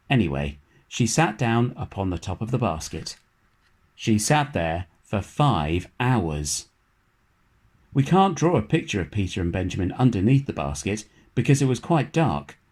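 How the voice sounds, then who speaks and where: Man, lowish voice, storybook narrator, measured expressive phrasing, scripted reading for children. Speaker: storybook reader.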